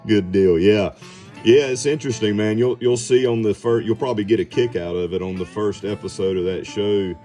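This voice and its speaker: Very deep, bright-voiced man. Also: brisk, soft background music. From southeast Georgia, United States. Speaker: amateur radio operator and TV survival personality. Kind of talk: casual radio chat.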